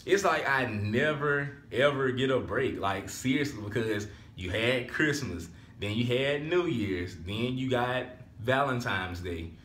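A man's voice: mid-range voice, expressive, unhurried, few pauses, fairly bright tone, roomy sound. A man of twenty-one, chatting casually and rambling a little as a vlogger. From Alabama, US. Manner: laid-back, rambling, repeats "so therefore". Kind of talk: casual chat. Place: small room at home.

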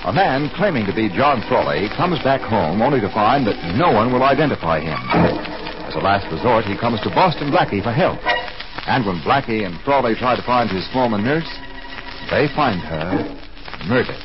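A man's voice: medium pitch, big pitch swings, moderate pace. A man reading scripted narration as the announcer of a 1940s radio drama. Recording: muffled, noisy 1940s radio with music.

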